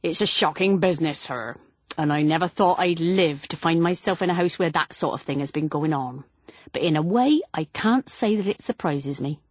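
A woman's voice: low voice, normal speed, no echo. A woman voicing a grim, disapproving old spinster housemaid in a storybook reading. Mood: sour indignation. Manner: indignant, disapproving, venomous.

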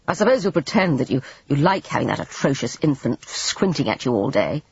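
A storybook reader voicing a proud male painter who turns bitter and impatient, speaking with sweeping, forceful lines. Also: medium pitch, sing-song, brisk, husky. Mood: wry. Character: proud, touchy male painter, quick to furious anger. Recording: dull lo-fi dry recording.

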